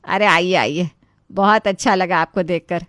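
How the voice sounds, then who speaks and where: Woman playing an anxious, polite family member, lowish voice. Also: fairly even intonation, moderate pace, fairly bright tone, dry recording, studio quality, natural voice. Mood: eager, respectful. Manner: polite, pleading. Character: anxious, deferential younger relative pleading with a bossy elder. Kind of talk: Hindi storybook character acting.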